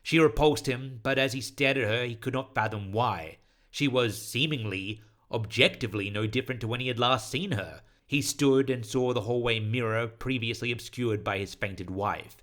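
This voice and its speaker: A man narrating a scripted horror story in a solemn, deliberate, literary manner. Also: mid-range voice, fairly bright tone.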